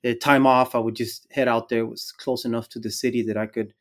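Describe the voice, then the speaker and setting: A man's voice: mid-range voice, level tone, fairly quick. A middle-aged man from Guatemala with a Guatemalan Spanish accent, answering interview questions conversationally as an academic, in stop-and-start phrasing. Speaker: interview guest, academic engineer and extension specialist.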